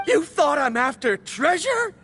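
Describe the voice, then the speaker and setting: A man's voice: extremely high pitch, full character voice. A man playing an obsessive male mineral scholar in a video game, speaking in a dramatic, fervent way. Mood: desperate, manic.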